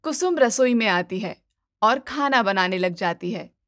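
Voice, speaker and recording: A woman's voice: mid-range voice, animated, unhurried, fairly bright tone, dry recording. Woman storyteller, dry close sound. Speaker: narrator. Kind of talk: scripted moral-story narration.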